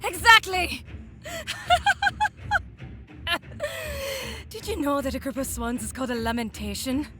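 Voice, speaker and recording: Woman acting a gloating, unhurried, high-voiced evil enchantress. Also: very animated, heavy background noise, heavily acted. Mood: manic taunting. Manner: taunting, theatrical, savoring her words. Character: gloating, cruel immortal enchantress who transforms people into swans. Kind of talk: audio drama acting.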